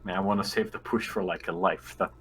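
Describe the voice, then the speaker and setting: A man with a very low voice talks casually as a player in a tabletop game. There is a faint bed of ambient noise behind him. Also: fairly even intonation, quick pace, a bit bright.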